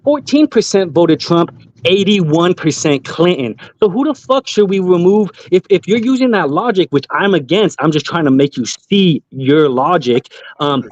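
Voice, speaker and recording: A man's voice: sits high, animated, moderate pace, darkish tone. A man on a live panel, methodically laying out figures to argue a point. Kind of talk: argumentative panel talk. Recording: dull lo-fi mic.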